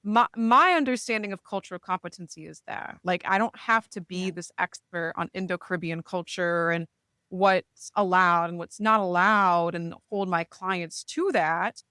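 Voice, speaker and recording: A woman's voice: lowish voice, steady tone, moderate pace, pushed and bright, clean tone, no echo. A woman, a therapist speaking reflectively in a casual podcast chat, often checking in with "right?". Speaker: therapist, guest.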